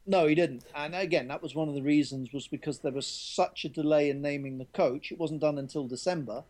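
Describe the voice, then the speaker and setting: A man's voice: on the high side, a little fast, a little mellow. A man being interviewed as a rugby journalist, talking candidly and often restarting his sentences. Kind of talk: conversational interview answers.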